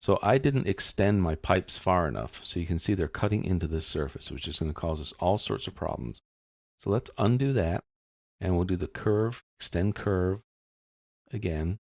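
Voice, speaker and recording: A man narrating a step-by-step software tutorial as an instructor, explaining in an informal way. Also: sits low, moderate pace, dry recording, clean studio sound.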